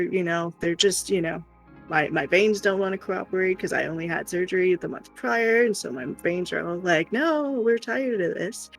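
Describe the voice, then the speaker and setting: A person chatting casually and telling personal stories in an animated way, often acting out what people said. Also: medium pitch, creaky, poor audio. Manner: animated, acts out quoted remarks. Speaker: live stream host. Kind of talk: casual chat, personal storytelling.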